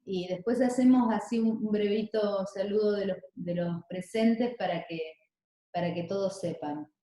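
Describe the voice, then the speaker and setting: A woman moderating a video meeting, relaxed and reassuring, with hesitant restarts. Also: medium pitch, moderate pace. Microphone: video call. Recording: very echoey room on a call.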